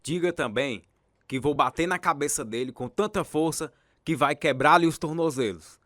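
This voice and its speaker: Radio play role: man as swaggering champion boxer, boastful bully who taunts, slightly high voice, dry sound. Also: moderate pace, a bit bright, no room sound, close to an everyday voice. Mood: cocky, threatening. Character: arrogant bullying middleweight boxing champion. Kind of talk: radio drama acting.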